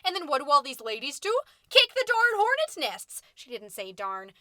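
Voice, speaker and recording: A woman's voice: high-pitched, wildly swooping pitch, normal speed, sharp tone, no echo, studio quality, full character voice. A woman playing a catty, indignant mom who hosts a podcast, delivering a sarcastic, melodramatic comedic monologue. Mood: exasperated, ranting. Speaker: podcast host.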